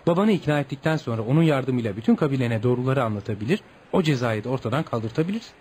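An actor playing a curious, kindly foreign gentleman writer who gives patient, reassuring advice in a Turkish radio play. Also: medium pitch, lively intonation, rapid-fire, husky. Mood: kindly, encouraging. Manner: avuncular, inquisitive, reassuring. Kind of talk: Turkish radio theatre acting. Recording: background noise and ambience.

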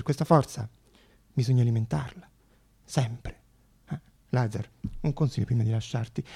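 Male actor as affectionate uncle, fervent, exhorting, theatrical pauses, husky, mellow tone. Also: a little high, no room sound, studio quality, full character voice. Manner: exhorting, rhetorical, with emphatic pauses. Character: fervent, affectionate uncle counselling his young nephew. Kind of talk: theatrical monologue.

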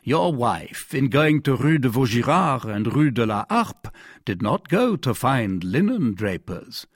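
Storybook cardinal, played by a man: commanding, sly, condescending, animated pitch, husky, recorded dry. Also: a little slow, dry recording. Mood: stern. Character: commanding, cunning, falsely benevolent male cardinal.

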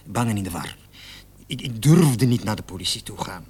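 A man's voice: lowish voice, animated, moderate pace, a little mellow, natural voice. A man acting in a radio drama as a nervous, evasive salesman under questioning, stammering and repeating himself. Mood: anxious, pleading. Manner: stammering, flustered, repeats words. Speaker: suspect under police questioning. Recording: noisy old radio play recording.

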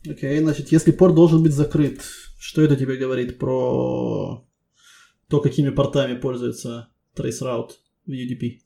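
Male interviewer, mellow tone, gravelly creak, probing step-by-step technical questions, conversational. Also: mid-range voice, flat delivery, moderate pace.

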